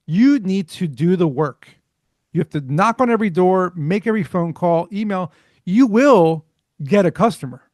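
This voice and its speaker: A man, a startup founder, talking as a podcast guest in an energetic, fluent way with rhetorical questions. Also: high-pitched, no room sound, studio quality. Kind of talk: casual interview chat.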